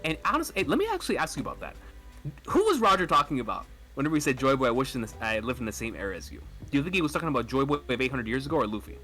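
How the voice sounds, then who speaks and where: A man's voice: medium pitch, very animated, very fast, sharp tone, faint music behind. A man in a casual podcast chat, laying out his ideas eagerly and emphatically.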